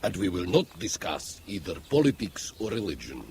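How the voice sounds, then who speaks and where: An actor playing a teasing, argumentative KGB officer in an audio drama, with a theatrical, emphatic delivery. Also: male voice, sits low, sing-song, normal speed, background noise. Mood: flirtatious, jovial. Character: Soviet KGB officer, teasing, argumentative, amorous atheist materialist.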